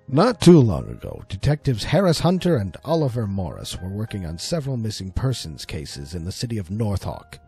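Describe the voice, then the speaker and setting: Male storyteller for scripted drama: low register, lively intonation, faint music bed. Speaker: narrator.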